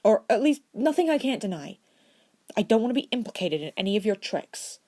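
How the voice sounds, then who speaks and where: A woman's voice: medium pitch, very animated, fairly quick, mellow, voice pushed up. A woman voicing a wary, feisty young schoolboy in a storybook reading, guarded and blunt.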